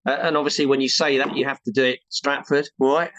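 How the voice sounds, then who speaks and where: Older English man, guest speaker, rambling and self-deprecating, mid-pitched voice, dry close recording. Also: steady tone, fast talker, fairly bright tone, no room sound. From England. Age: 60s. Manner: chatty, rambling with frequent restarts and self-deprecating humour. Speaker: chartered building surveyor, guest. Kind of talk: casual interview talk.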